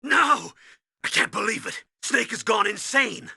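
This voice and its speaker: A man playing a gruff, urgent military commanding officer briefing his agent in a video game, with a commanding, instructive delivery. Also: very high voice, animated, measured pace, pushed and bright, no echo, clean studio sound, heavily acted. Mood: alarmed, urgent.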